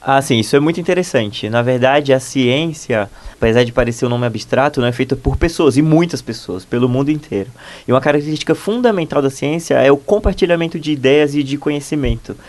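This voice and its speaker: A man's voice: medium pitch, fast talker, a little mellow. A man in his thirties from Bahia, Brazil, a postdoctoral researcher answering interview questions in a fluent, explanatory and enthusiastic way.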